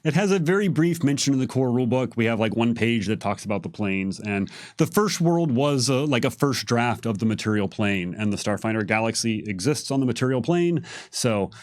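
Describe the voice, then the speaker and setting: A man's voice: medium pitch, lively intonation, a little fast, few pauses, dry recording. A man casually explaining fictional lore as a game developer, unscripted, with emphatic stresses on key words. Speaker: game developer answering lore questions on a live stream.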